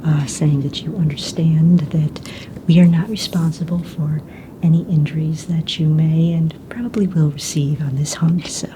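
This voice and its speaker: A woman whispering softly in a breathy voice, playing a casual, unbothered fantasy mistress of ceremonies in an ASMR roleplay. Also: fairly even intonation, moderate pace, poor audio. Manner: casual, hesitant, matter-of-fact explaining. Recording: clear low rumbling background noise.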